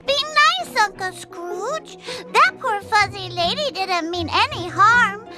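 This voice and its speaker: A woman's voice: very high voice, animated, takes it slowly, heavily acted. A woman voicing a sweet, naive little girl duckling in a cartoon game, earnest and childlike. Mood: gentle, earnest. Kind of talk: animated game voice acting. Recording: loud background music under the voice.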